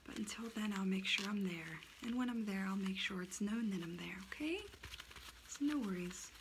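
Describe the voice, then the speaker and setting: A woman in an ASMR roleplay voicing a cheeky personified monthly period as a female aunt, whispering softly and teasing, close to the mic. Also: medium pitch, lively intonation, moderate pace, natural voice. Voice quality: soft whispering. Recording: noisy recording.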